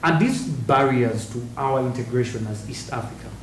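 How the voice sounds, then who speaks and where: A man's voice: mid-range voice, normal speed, far from the mic. A man hosting a TV panel discussion, talking conversationally and repeating words as he starts a point. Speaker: TV talk show host. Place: TV studio. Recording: roomy studio, faint hiss, a bit off-mic.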